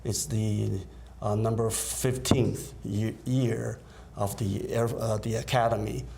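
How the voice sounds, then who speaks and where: A man's voice: fairly low pitch, flat delivery, takes it slowly, gravelly, a little mellow, slight background noise. A man who grew up in Taiwan, an event organizer answering interview questions hesitantly, with many fillers. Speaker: concert organizer.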